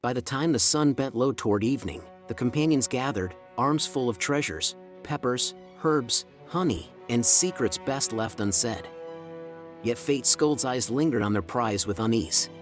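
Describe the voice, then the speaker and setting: A man's voice: mid-range voice, normal speed. A man narrating a scripted fantasy story in a dramatic, ornate storyteller manner. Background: music.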